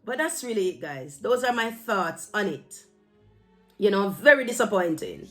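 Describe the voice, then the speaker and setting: A woman's voice: medium pitch, sing-song, moderate pace. Jamaican-accented woman giving emphatic opinion over music. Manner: emphatic, admonishing, slipping into Patois phrases. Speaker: vlogger giving her opinion. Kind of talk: casual opinion talk to camera.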